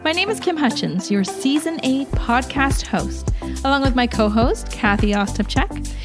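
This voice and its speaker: A woman hosts a podcast, delivering a scripted introduction. Her voice sits on the higher side, and music plays clearly behind her. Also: animated, moderate pace. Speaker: podcast host.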